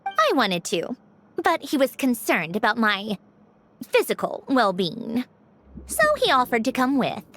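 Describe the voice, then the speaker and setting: A woman's voice: fairly high pitch, big pitch swings, unhurried, fairly bright tone, a little hiss or hum. A woman voicing a proud, guarded young woman inventor in a video game, speaking in a composed, precise way. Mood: matter-of-fact. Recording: background music under the voice.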